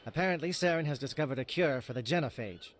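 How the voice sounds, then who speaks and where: An actor voicing a stern, resolute alien military captain in a video game, with a clipped, urgent, formal delivery. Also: male voice, on the high side, quick pace, natural voice. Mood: urgent briefing.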